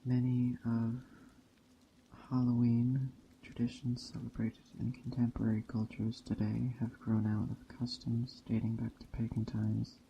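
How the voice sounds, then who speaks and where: A man's soft ASMR roleplay voice as a kindly witch host, extremely mellow and dark, with a creaky edge. He speaks slowly and hushed, pausing often. Faint forest and rain ambience sits behind him. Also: mid-range voice, monotone, dull audio, slight background noise. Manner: hushed, unhurried, with long pauses. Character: gentle, hospitable witch by a campfire.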